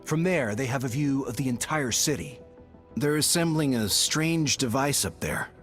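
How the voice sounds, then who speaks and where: An animated mid-pitched male squad agent played by an actor speaks directly and skeptically in a video game cutscene. He is matter-of-fact, with faint music behind him. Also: moderate pace, close to an everyday voice.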